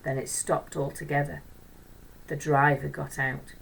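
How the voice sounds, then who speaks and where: A woman storyteller reading a children's book aloud in an expressive narrating style. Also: sits low, a little slow, gravelly, breathy edge, recorded from a distance, poor audio.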